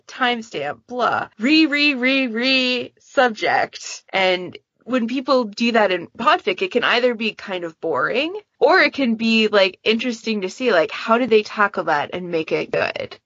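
A woman's voice: a little high, lively intonation, measured pace, few pauses, a bit bright, dry recording, dull audio. A woman in a casual panel chat, explaining things in an informal, chatty way. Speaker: podcast panelist and fan project moderator.

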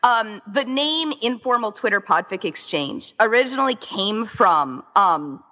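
A woman's voice: mid-range voice, expressive, a little slow, pushed and bright, long reverb tail. A woman chatting casually and informally as a podcast interview guest, peppering her talk with "like". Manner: chatty, informal, heavy use of "like". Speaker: interview guest, event co-founder.

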